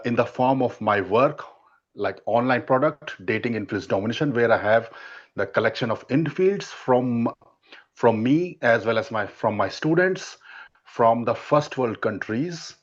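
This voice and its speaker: A man with an Indian accent, a native Hindi speaker and dating coach, arguing in an online debate with halting restarts and fillers. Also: mid-range voice, highs cut off.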